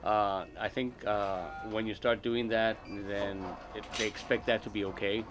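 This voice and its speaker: A man's voice: fairly low pitch, steady tone, unhurried. A man from New York City giving a casual, hesitant interview answer.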